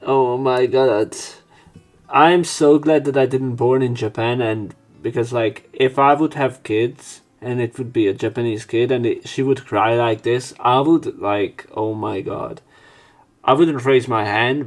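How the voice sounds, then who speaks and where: A man's voice: medium pitch, steady tone, a little slow, soft background music. Sweary man doing game commentary. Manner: sweary, sarcastic. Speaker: let's play host. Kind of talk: casual game commentary, reading dialogue aloud.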